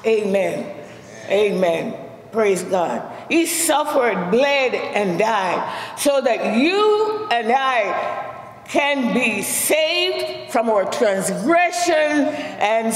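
A middle-aged woman minister with a Jamaican accent, preaching a sermon with frequent amens and shouted praise. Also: fairly high pitch. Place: large church sanctuary. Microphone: handheld mic. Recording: echoey church hall.

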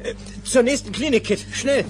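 Gruff, protective action hero, high male voice, German radio drama. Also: normal speed, over music. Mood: urgent. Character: hot-headed, brave male crime-fighter, protective and gruff.

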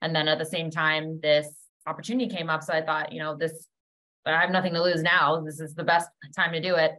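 Canadian woman in her 30s, lowish voice, super casual storytelling on a video call. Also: little pitch movement, a little fast, sharp tone. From Canada, born in Trinidad. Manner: informal, peppered with "like". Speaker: podcast guest. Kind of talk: casual chat, personal storytelling. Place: indoor room, video call.

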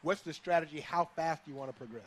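A man co-hosting a sports talk show on a headset mic, chatty and quick to laugh. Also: on the high side, a little hiss or hum. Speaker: co-host.

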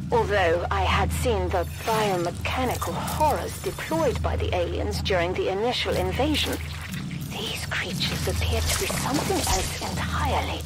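Game narrator: actress as analytical female researcher, measured and exact, medium register, heavy score underneath. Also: medium pitch, close to an everyday voice, rough recording. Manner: measured, precise, formal. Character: cool, analytical female scientist dictating research notes.

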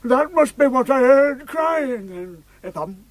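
A woman voicing a dithering elderly uncle who asks slow, puzzled, fussing questions. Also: high-pitched, expressive, dry recording, heavily acted.

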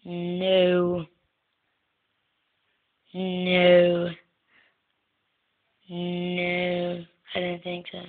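A soft-spoken young woman hosting a casual gaming video, answering a guessing game in short words. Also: fairly low pitch, monotone, extremely slow, pauses often. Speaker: gaming channel host. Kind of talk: casual gameplay commentary. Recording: tinny lo-fi phone-like recording.

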